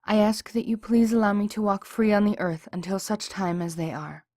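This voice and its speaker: A woman's voice: medium pitch, fairly even intonation, moderate pace, dark tone, no echo, studio quality, lightly acted. A woman voicing a humble female djinni spirit, a servile mare who speaks formally and imploringly. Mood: earnest. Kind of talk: fan audiobook voice acting.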